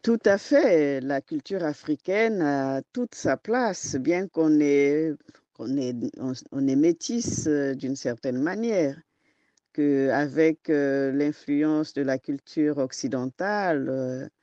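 Slow, hesitant woman author, dry and muffled. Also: low-pitched, lively intonation, mellow, no echo. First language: not French. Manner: hesitant, halting, often restarts and searches for words. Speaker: author and storyteller. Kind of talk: interview guest answering questions.

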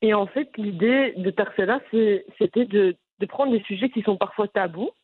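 A woman from Brussels with a Brussels French accent, answering interview questions in an animated, frank way with frequent restarts. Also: mid-range voice, moderate pace, breathy edge, no echo. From Brussels, Belgium. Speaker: content creator guest. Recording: phone-line audio.

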